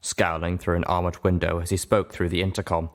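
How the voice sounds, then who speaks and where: A man's voice: sits low, level tone, brisk. A man reading a scripted first-person adventure story as an audiobook narrator.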